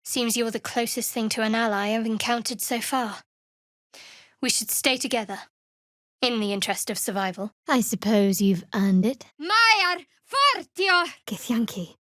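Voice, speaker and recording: Video game role: guarded young female cleric of a dark goddess, wry and measured, highish voice, dry close sound. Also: dry recording. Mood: fierce, intense. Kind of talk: video game character voice lines.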